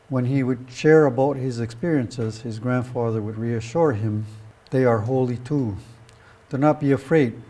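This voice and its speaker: Mellow-voiced man reading an introduction. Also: medium pitch. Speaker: presenter introducing a guest speaker. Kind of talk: scripted biographical introduction, read aloud.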